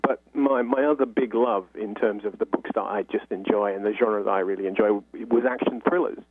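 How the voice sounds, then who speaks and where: Husky man on a phone-like line. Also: fairly low pitch, steady tone, a little fast, dry recording. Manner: talkative, explanatory, frequent "you know". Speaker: interview guest, author. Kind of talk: conversational explaining in an interview.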